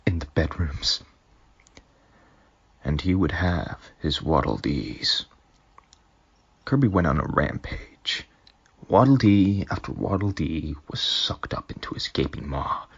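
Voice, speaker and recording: A man's voice: low-pitched, gravelly, husky, dry recording, highs cut off. A man reading his own fanfic aloud as dramatic storytelling, sometimes dropping into whispers. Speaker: fanfic reader.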